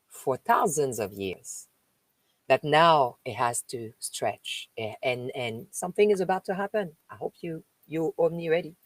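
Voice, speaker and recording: Slow French-accented woman reading aloud. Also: low voice, a little mellow, no echo. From France. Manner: slow, emphatic reading broken by chatty asides. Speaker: spiritual live-stream host. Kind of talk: reading aloud with spoken commentary.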